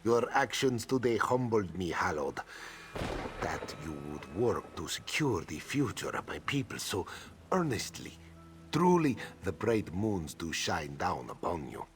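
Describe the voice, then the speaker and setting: Deep, solemn noble game character. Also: male voice, very animated. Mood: grateful, reverent. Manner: formal, reverent, measured. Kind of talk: solemn video game dialogue.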